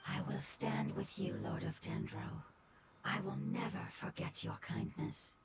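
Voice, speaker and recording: A woman voicing a gentle, solemn nature spirit in a video game, with a breathy, whispery voice and formal, reverent delivery. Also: lowish voice, big pitch swings, unhurried, full character voice. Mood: solemn gratitude. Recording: game audio under loud ambient noise.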